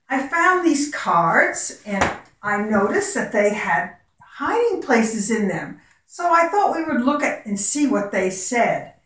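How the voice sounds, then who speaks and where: A woman's voice: on the high side, a bit bright, a little hiss or hum. A woman who is a former teacher, reading a picture book aloud to young children in her home classroom, asking coaxing, sing-song questions. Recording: very echoey room, voice far from mic.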